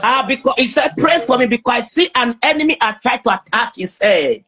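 A young man speaking as a prophet praying over someone on a video call, insistent, halting and repeating himself. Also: extremely high pitch, animated, bright, forceful tone, slightly rough. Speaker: preacher, self-styled prophet. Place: small indoor room. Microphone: phone at arm's length on video call.

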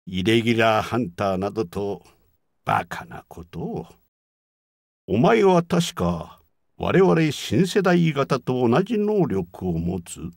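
An actor voicing a haughty, menacing yeti combat robot in a Japanese video game, with an imperious, scornful, declamatory delivery and a gravelly voice. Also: male voice, mid-range voice, no echo, studio quality, full character voice.

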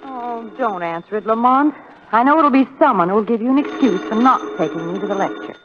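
A woman's voice: on the high side, expressive, a little fast, background noise, natural voice. A woman playing a witty, sociable society companion in a 1940s radio drama, wry and teasing. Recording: dull 1940s radio recording, loud music behind.

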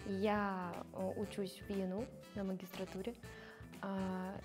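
A woman's voice: medium pitch, little pitch movement, a little slow, music in the background. A woman in her twenties answering interview questions thoughtfully and with some hesitation, wearing a lapel mic. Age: 20s. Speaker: show participant. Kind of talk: casual interview. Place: studio with grey backdrop.

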